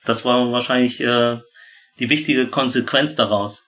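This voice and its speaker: A man in his thirties from Vietnam who grew up in Germany tells personal stories as an interview guest, often halting to search for words. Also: medium pitch, steady tone, a bit bright, lo-fi sound. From born in Vietnam, grew up in Germany. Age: 30s. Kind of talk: personal storytelling in a conversational interview.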